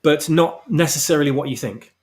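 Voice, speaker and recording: A man's voice: fairly high pitch, fairly quick. Emphatic man explaining his story. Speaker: guest author of fan fiction. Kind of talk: casual interview chat about his own story.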